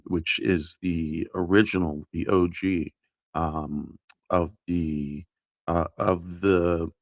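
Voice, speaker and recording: A man hosting an early-morning livestream, talking in a halting way full of fillers and restarts, unsure of his pronunciation. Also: low-pitched, no room sound, clean studio sound. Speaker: livestream host. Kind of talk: casual livestream chat.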